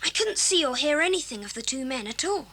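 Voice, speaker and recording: Woman as an adventurous schoolboy, high and bright, eager, earnest, practical, 1980s children's audio drama. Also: sing-song, brisk, slight background noise, exaggerated voice. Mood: breathless relief.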